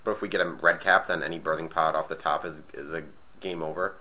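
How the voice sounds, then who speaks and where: A man with a slightly raspy, nasal voice from being sick, casually commentating a card game match and thinking aloud as he plays. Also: very deep voice, fairly even intonation, fast talker, sharp tone. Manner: thinking aloud, hesitant restarts. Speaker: gameplay commentator.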